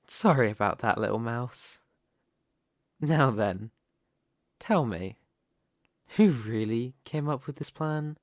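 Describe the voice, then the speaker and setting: Slow, smug mafia boss, acted by a man. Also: mid-range voice, dry recording, clean studio sound. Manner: taunting, deliberate, silky with pauses. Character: smug, menacing, possessive mafia boss toying with rival. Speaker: ASMR roleplay voice performer. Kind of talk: dramatic ASMR roleplay monologue.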